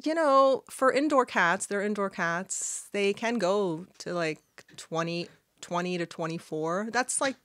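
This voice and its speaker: Bright-voiced chatty woman guest. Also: mid-range voice, animated, measured pace, no echo, studio quality. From Canada. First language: Arabic. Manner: chatty, laughs often, many fillers. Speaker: podcast guest. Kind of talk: casual interview chat. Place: small studio with red curtains. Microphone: studio mic on boom arm.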